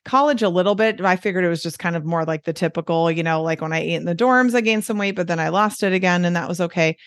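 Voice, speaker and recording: A Midwestern American woman in her forties talks easily as a podcast guest. Her voice sits fairly low, and it is recorded very dry and close. She chats along, dropping in fillers and small laughs. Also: fast talker, dry recording. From United States. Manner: chatty, with frequent fillers and light laughs. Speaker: guest, weight loss coach. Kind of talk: casual podcast conversation.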